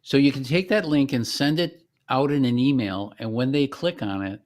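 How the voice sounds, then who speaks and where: A man explaining software step by step in a conversational, informal product demonstration. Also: medium pitch, normal speed.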